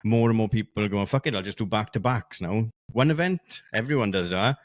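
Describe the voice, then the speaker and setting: A man's voice: lowish voice, fairly quick. A man co-hosting a podcast, asking long, rambling questions with restarts and hedges. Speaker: podcast co-host. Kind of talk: casual podcast chat.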